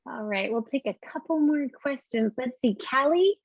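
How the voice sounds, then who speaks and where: Woman, high voice, casual teacher calling on kids, encouraging, close dry sound, muffled call audio. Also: expressive, normal speed, husky, no room sound. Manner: informal, encouraging, affectionate with children. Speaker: teacher moderating children's questions. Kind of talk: casual moderating of a virtual question session.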